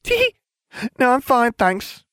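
Brief: flighty blonde witch, voiced by a man; shrill, lively, giggles mid-sentence. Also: fast talker, no room sound, studio quality. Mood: gushing. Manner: punctuates speech with a shrill tee-hee.

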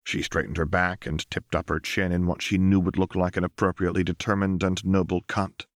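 A man's voice: low voice, level tone, fairly quick, dry recording, clean studio sound. A man reading a fantasy story as an audiobook narrator, in scripted narration.

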